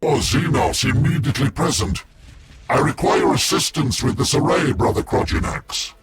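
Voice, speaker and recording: An actor playing a solemn, ancient armored war machine in a video game, speaking in a grave, formal, declamatory way. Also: male voice, fairly high pitch, normal speed, very noisy, lightly acted. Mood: commanding. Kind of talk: scripted video game voice acting.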